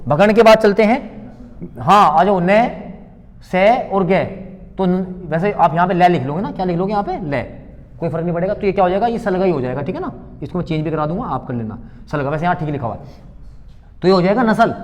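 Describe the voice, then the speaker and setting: A man with a high-pitched voice teaches an exam-prep class in a room with a long echo. He keeps firing questions at his students, repeating each one and then supplying the answer in a call-and-response rhythm. He calls his pupils beta and bachche.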